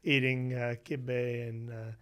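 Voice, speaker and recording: A man from Western Pennsylvania, a researcher being interviewed, telling his family story in a relaxed way with many "you know"s. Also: mid-range voice, unhurried, smooth. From Western Pennsylvania, USA. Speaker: guest, researcher. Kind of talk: conversational interview.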